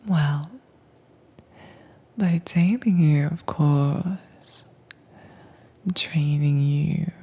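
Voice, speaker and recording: A woman performing a whispered roleplay as a calm, commanding agent who keeps a werewolf caged, speaking in a breathy, teasing manner close to the mic. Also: low-pitched. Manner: cool, teasing, unhurried. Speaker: audio drama voice actress. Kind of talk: soft-spoken whispered roleplay audio.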